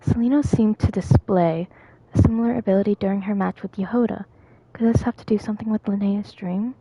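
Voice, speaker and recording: A woman voicing a calm, thoughtful female companion in game dialogue, measured and questioning. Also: mid-range voice, slightly rough, no room sound, poor audio. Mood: pondering.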